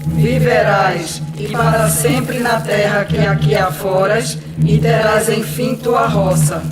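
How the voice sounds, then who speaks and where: A man playing a mourning peasant in an animated film, slowly chanting solemn funeral verse. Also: high voice. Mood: solemn, mournful. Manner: solemn, drawn-out, measured. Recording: echoey, with loud music behind.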